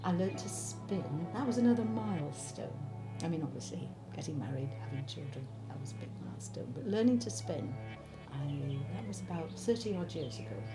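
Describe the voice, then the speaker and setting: A woman's voice: low voice, animated. A woman, thoughtfully reminiscing in a documentary interview. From Wales. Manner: thoughtful, unhurried, warmly reminiscing. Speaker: interviewee, spinner and knitter. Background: background music.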